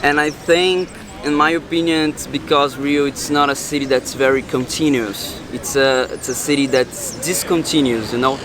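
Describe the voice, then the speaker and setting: A man's voice: mid-range voice, steady tone, moderate pace, few pauses. Brazilian-accented man on a traffic-noisy street. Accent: Brazilian Portuguese. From Rio de Janeiro, Brazil. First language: Portuguese. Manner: earnest, hesitant with "uh" fillers. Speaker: interviewee. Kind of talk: street interview, casual opinion. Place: city street outdoors.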